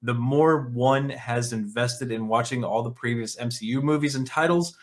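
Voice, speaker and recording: A man with a medium-pitched voice hosts an opinionated commentary show. He moves between reading written articles and giving his own reactions. His manner is blunt and scornful, with sarcasm and casual swearing. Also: normal speed. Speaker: online commentary show host.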